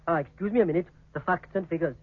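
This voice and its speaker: An actor playing a harried, grumbling calculations clerk at a space base in a 1950s radio serial. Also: male voice, on the high side, fast, breathy edge. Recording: muffled, noisy vintage radio.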